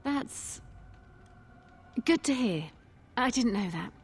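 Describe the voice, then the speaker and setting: An actress playing a weary, resigned tavern barmaid in a video game, with a wry manner. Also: female voice, on the high side, animated, slow, slightly rough, exaggerated voice. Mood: resigned, bitter.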